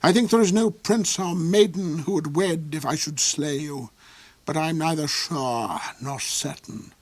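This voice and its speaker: A cold and sly villainous Duke from a storybook, played by a man. He speaks in haughty, measured, sneering phrases, recorded close and dry. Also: on the high side, no echo, pitched above the performer's own voice. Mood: sly threat.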